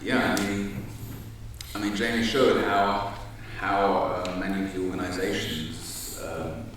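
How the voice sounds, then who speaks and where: A man on a debate panel giving a measured answer with hesitant fillers. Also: low-pitched, flat delivery, fairly bright tone. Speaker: panel speaker. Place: large university auditorium. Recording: echoey hall, far from the mic, faint hiss.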